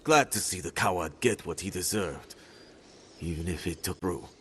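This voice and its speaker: An actor playing an earnest, gritty island fighter in a video game, plain-spoken. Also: male voice, sits low, moderate pace, fairly bright tone, slight background noise. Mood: relieved, grateful. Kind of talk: video game drama acting.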